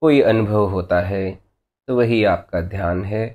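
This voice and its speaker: A man guides a meditation in a lowish voice. His delivery is very slow, with short instructional phrases set apart by long pauses. He instructs gently and without any hurry. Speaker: meditation teacher.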